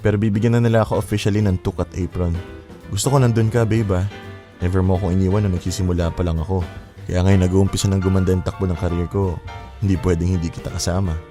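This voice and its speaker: A man playing an affectionate, hopeful young boyfriend in a Filipino radio drama, speaking gently. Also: deep voice, little pitch movement, fast talker, music in the background, natural voice. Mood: affectionate, grateful.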